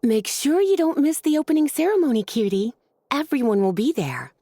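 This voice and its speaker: A woman voicing a kind mature teacher who speaks softly and patiently. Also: high-pitched, sing-song, a little fast, dry recording. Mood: caring. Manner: soft, patient, caring. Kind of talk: game voice acting.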